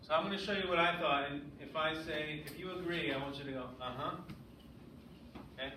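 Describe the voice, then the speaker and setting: A man's voice: on the high side, brisk, pushed and bright. Man teaching, echoey and far from the mic. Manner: questioning, checks understanding with frequent "right?". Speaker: sixth grade English teacher. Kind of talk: classroom lesson with read-aloud. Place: classroom. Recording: echoey, noisy room, distant mic.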